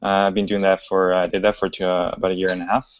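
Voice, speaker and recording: A man in his thirties from China, a pharmacist introducing himself on a video call, halting with frequent fillers. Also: sits low, little pitch movement, a little hiss or hum. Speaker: panelist, pharmacist. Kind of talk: casual self-introduction. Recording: dry, dull lo-fi call audio.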